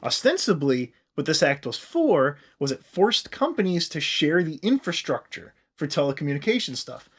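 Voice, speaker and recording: Man, American accent, slightly high voice, podcast co-host, casual explainer, sweary, animated. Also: moderate pace, few pauses, husky. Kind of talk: casual comedic history explainer chat.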